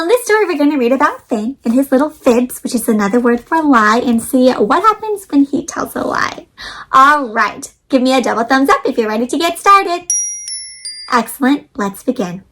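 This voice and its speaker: A woman's voice: high-pitched, slight background noise. A woman hosting an interactive read-aloud for young children, speaking expressively and putting questions to her listeners. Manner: animated, expressive, asks listeners questions with pauses.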